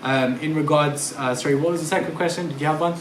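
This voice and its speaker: A man's voice: a little high, fairly even intonation, fast, noisy background. A man moderating a panel forum, polite and businesslike, with some hesitation. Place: large echoey hall. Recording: echoey hall.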